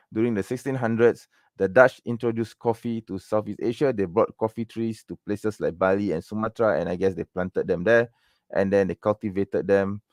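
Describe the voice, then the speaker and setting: Malaysian male livestream host, very low voice, dry close sound, loose rambling delivery with constant tag questions. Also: no echo. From Malaysia, raised in Penang. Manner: rambling, many fillers and tag questions like "okay?" and "right?". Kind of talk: casual livestream chat answering viewer questions.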